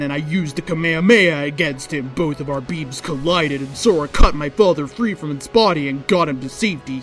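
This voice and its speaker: A woman reading a fan-written story aloud as a dramatic narration. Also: low-pitched. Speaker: fanfiction reader. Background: background music.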